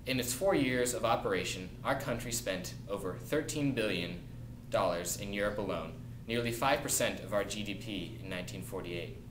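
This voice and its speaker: Low-voiced young man reporting in echoey room. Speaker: news reporter in amateur mock newscast. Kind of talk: scripted news report. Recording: echoey room with clear background noise.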